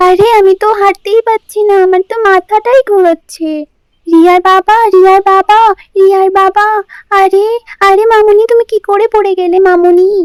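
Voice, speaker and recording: A woman acts out a doting mother in a puppet cartoon, with an extremely high, childlike pitch. She is frantic and melodramatic, wailing her phrases over and over in a close, dry recording. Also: a little mellow, dry recording. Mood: dazed, alarmed. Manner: frantic, wailing, repeating herself. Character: panicky, doting village mother doll. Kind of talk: melodramatic puppet-story voice acting.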